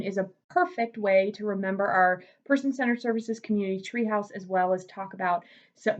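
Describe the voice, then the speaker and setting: An American woman reading aloud to children as a storyteller, with a rhythmic, expressive, drawn-out delivery. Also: mid-range voice, dull audio. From United States. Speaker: museum educator, storyteller. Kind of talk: children's picture-book read-aloud.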